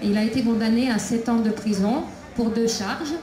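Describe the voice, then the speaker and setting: A woman's voice: on the high side, background noise. Hesitant woman speaking over an echoey PA. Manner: halting, many hesitations. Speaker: prisoner's mother, invited speaker. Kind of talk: public talk at a debate. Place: outdoor festival debate tent. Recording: echoey PA with crowd ambience.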